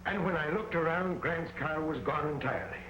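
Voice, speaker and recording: An actor playing a brash, bumbling tough sidekick in 1940s film serial style. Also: male voice, fairly high pitch, brisk, natural voice. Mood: puzzled. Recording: noisy, echoey old film soundtrack.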